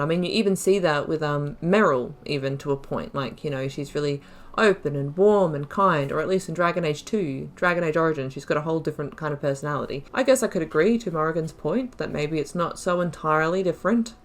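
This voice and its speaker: A woman's voice: sits low, brisk, hardly any pauses. A woman giving casual let's play commentary as a gaming YouTuber, chatty and musing as she thinks aloud.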